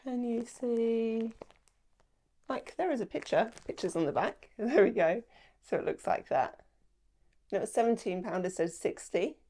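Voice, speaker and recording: A woman's voice: fairly high pitch, animated, moderate pace, mellow. A woman, chatting casually to camera about her shopping, a little nasal from a starting cold, rambling with lots of "like" and "um". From England. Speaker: lifestyle vlogger. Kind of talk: casual haul chat to camera. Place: small indoor room at home.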